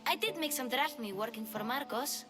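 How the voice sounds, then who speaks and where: A woman's voice: high voice, animated, normal speed, music in the background. An actress playing a plucky, cheeky young Greek girl in a video game. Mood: sheepish, eager.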